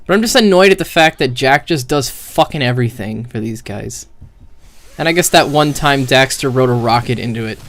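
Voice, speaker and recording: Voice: medium pitch, lively intonation, normal speed, a bit bright. A streamer giving casual, rambling game commentary in a blunt, profane way, full of "like", into a microphone with the gain turned up. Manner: rambling, heavy use of "like". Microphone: streaming microphone with high gain. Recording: close, dry, hot mic gain.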